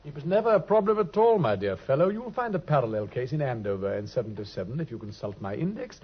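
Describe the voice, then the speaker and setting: A man's voice: medium pitch, sing-song, fast. An actor playing a brilliant, aloof male detective in a vintage radio drama, drawling and teasing as he lectures. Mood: wry. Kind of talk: 1950s radio drama acting. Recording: dull, husky old radio recording.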